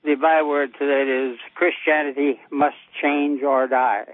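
A man's voice: fairly high pitch, little pitch movement, unhurried, no room sound. A ninety-year-old American man calling in to a show, chatting in a plain-spoken, folksy way. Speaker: caller. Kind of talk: casual phone-in chat. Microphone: telephone. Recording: dull lo-fi call audio.

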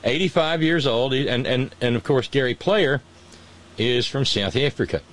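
A man's voice: medium pitch, normal speed, a bit bright. A man hosting a casual political chat, sarcastic and mocking, often restarting his sentences. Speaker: podcast host.

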